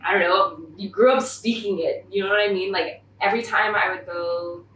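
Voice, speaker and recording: A young woman from Thailand and Hong Kong chatting casually as an interview guest, with animated, slangy storytelling. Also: mid-range voice, normal speed, far from the mic. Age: young adult. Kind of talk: casual chat. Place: living room, on a leather couch. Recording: echoey room, off-mic, background noise.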